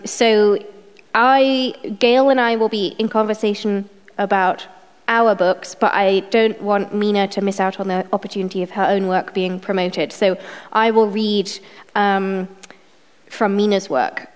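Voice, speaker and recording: A woman opens a literary event at a microphone, her voice strained and a little snuffly. She talks chattily to the audience at a middling pitch, with plenty of ums between her thoughts. Her voice rings out with a long reverb, as in a hall. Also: darkish tone. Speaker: event chair. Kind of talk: introducing a literary reading. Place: event room with a live audience. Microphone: microphone on a stand.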